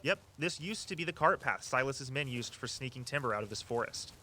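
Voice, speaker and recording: An actor playing an eager, earnest walking-tour guide who tells local history with a storyteller's flair. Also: male voice, medium pitch, expressive, fairly quick, sharp tone, a little hiss or hum. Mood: casual, earnest. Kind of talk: audio drama dialogue, storytelling tour patter.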